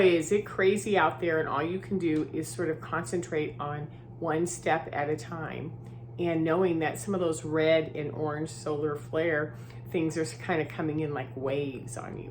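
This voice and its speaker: A woman's voice: on the low side, a little mellow, a little hiss or hum. A woman from Southern California with a head cold and a groggy voice, chatting in a casual vlog from her new home and often tagging "right?" onto her points. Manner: chatty, filler-heavy, often adds "right?". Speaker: vlogger.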